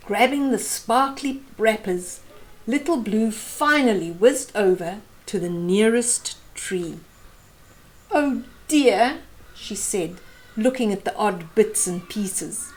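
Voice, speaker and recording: A woman in her fifties reading a children's picture book aloud expressively, with deliberate phrasing for young listeners. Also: mid-range voice, low-quality recording. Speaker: storyteller host. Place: small room at home, indoors.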